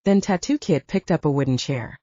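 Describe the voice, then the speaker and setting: A woman reading a first-person story as an audiobook narrator in a scripted, expressive storytelling style. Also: low voice, a little fast, dry recording, highs cut off.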